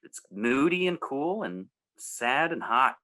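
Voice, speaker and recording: A man's voice: medium pitch, sing-song, slow pace, pushed and bright. An American man in his thirties chatting in an informal, rambling way as a co-host on a music show. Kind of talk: casual chat.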